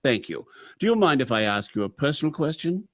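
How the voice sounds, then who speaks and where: A man's voice: a little high, sing-song, fairly bright tone, dry recording, studio quality. A man acting a courteous, businesslike factory general manager in a 1930s-style radio drama, speaking formally and politely. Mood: courteous, attentive. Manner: formal, brisk dictation and polite address.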